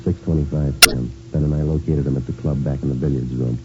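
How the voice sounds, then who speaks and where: An actor playing a stoic American police detective, narrating his case in a clipped, deadpan, matter-of-fact radio drama style. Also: a bass voice, quick pace. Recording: dull vintage radio recording, music behind.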